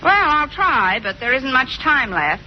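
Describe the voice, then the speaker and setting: A sardonic American woman teacher in an old radio sitcom. Her voice is high and swoops expressively, with crisp diction. The recording is muffled, with a studio audience behind it. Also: sing-song, unhurried, slight background noise. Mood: brisk, instructive. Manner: dry, sardonic, crisply articulated. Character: wry, quick-witted female high school English teacher. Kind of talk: 1940s radio sitcom acting.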